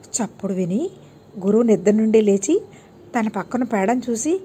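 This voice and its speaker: A woman's voice: a little high, lively intonation, normal speed, dark tone, slightly rough. A woman narrating a scripted moral tale for children as an audiobook storyteller.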